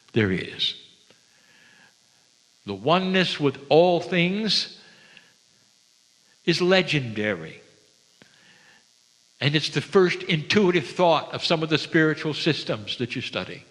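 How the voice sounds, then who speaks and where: A man speaks as a spiritual teacher in a large hall, with long reverb trailing his words. His voice sits a little high for a man. He takes his time, gentle and reverent, leaving dramatic pauses between phrases. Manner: slow, deliberate, with dramatic pauses. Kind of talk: spiritual sermon to an audience.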